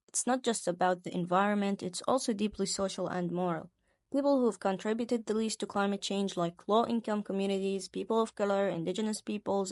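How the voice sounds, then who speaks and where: A woman in her twenties from Palestine, co-hosting a podcast and reading a script in an earnest, deliberate way. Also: medium pitch, darkish tone, dry recording, clean studio sound. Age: 20s. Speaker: podcast co-host.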